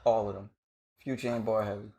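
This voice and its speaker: A man's voice: on the low side, little pitch movement, fairly quick, creaky, dark tone. A man with an American accent talking casually as a podcast co-host, teasing and challenging his friends in heavy slang. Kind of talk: casual banter and debate among friends.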